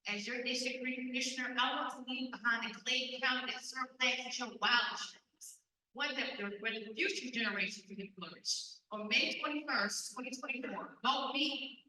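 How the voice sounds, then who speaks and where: A woman with a fairly high, very bright voice speaks directly and briefly as a candidate. She is heard from a distance in a strongly echoing hall. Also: a little hiss or hum. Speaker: county commission candidate. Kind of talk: campaign speech at a candidate forum. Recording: echoey hall, distant mic.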